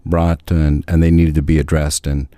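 A commissioner talks on a radio program in a measured, halting way. His voice is very low and warm. The sound is close and dry. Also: flat delivery, no echo. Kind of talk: radio interview guest.

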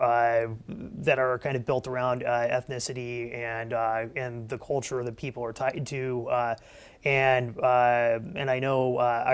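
A man's voice: medium pitch, clear, resonant tone. A man on a panel discussion, speaking hesitantly with many fillers and repeated words.

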